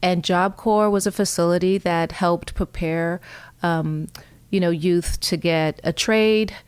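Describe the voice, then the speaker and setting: A woman in her forties from New York City whose first language is Spanish, talking earnestly and thoughtfully as a guest with frequent fillers. Her voice is smooth and resonant, sitting a little low, and the recording is dry and close. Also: fairly even intonation, slow, no room sound. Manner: reflective, earnest, many fillers. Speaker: guest, fire chief. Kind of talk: casual interview conversation.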